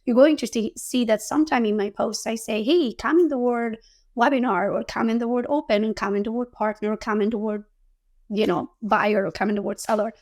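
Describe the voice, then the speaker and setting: A woman host, hoarse and losing her voice, teaching social media marketing in a chatty, practical way that keeps checking in with "right?". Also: on the high side, fairly quick, a little mellow. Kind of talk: casual teaching talk.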